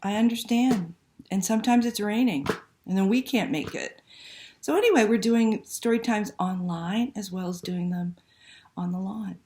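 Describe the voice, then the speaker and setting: A woman's voice: mid-range voice, moderate pace, dark tone, slight background noise. A woman hosting a library story time, reading aloud and talking to young children with simple questions and prompts.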